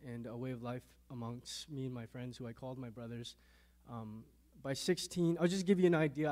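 Soft-spoken man giving a halting testimony. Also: fairly high pitch. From Canada, born in a Thai refugee camp to Lao parents. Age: 30s. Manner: halting, repeats words, soft-spoken on hard memories. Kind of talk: earnest faith testimony interview.

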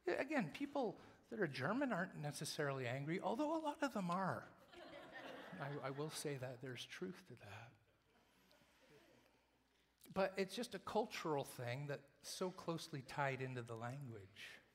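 A male preacher giving a sermon, moving between loud emphatic lines and hushed whispers. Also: a little high, very animated, moderate pace. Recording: long reverb of a large hall.

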